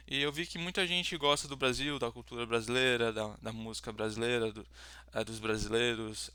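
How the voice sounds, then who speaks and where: A man's voice: mid-range voice, sharp tone, smooth, no room sound. A young Brazilian man with a Brazilian Portuguese accent, talking casually about teaching languages, rambling with many fillers and restarts. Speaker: language tutor. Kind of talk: casual self-introduction to camera.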